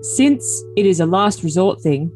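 A woman's voice: on the low side, expressive, unhurried, darkish tone, faint music behind. A woman voicing an earnest, defensive, lovesick young man in a dramatic reading of text messages. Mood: reflective. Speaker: podcast cast reader.

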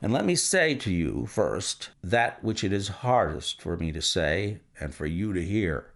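Grave detective, deep voice, gravelly, measured delivery, played by a man. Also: very animated, fairly bright tone, full character voice. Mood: stern. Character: calm, stern male detective, measured and authoritative.

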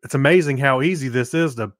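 A male podcast co-host with a medium-pitched voice, recorded close and dry. He talks casually, explaining his points in an easy conversational manner with some amusement. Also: fast, fairly bright tone, no room sound. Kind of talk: casual chat.

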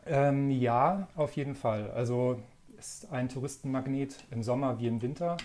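Relaxed north German man in his thirties. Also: mid-range voice, moderate pace, a little hiss or hum. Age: 30s. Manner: relaxed, friendly, frequent fillers. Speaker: magazine editor, guest. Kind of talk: casual interview chat.

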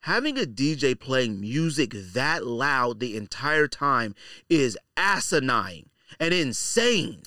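A middle-aged man hosts a casual podcast with a bright, forceful voice and very lively pitch. He rants in slangy, opinionated bursts and hammers points by repeating them. The sound is dry and close. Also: no room sound. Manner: animated ranting, slangy, repeats points for emphasis. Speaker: podcast host.

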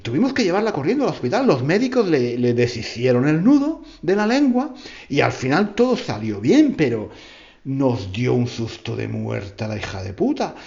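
A man's voice: medium pitch, sing-song, moderate pace, creaky, a little mellow, dull audio. A man hosting a podcast for language learners, telling a tall tale playfully and repeating phrases for emphasis. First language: Spanish. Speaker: podcast host. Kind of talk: storytelling to learners.

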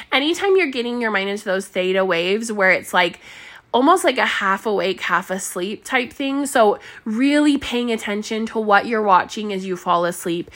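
A woman's voice: fairly high pitch, bright, forceful tone. A woman hosting a solo podcast, chatting in a bubbly, rambling way with a stuffy nose. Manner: bubbly, chatty, lots of filler words and laughs. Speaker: podcast host and mindset coach.

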